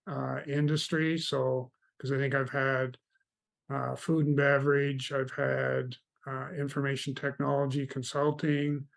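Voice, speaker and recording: A man from Canada, a guest in an interview, telling stories about mentoring in a relaxed, meandering way. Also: mid-range voice, measured pace, creaky. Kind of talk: casual interview chat.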